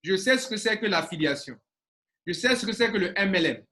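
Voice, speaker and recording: A man in his forties speaks to his audience as a business coach. His voice sits high for a man and rings bright and forceful. He drives points home by repeating them. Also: lively intonation, a little fast. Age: 40s. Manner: emphatic, repeating phrases for stress. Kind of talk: casual talk to viewers.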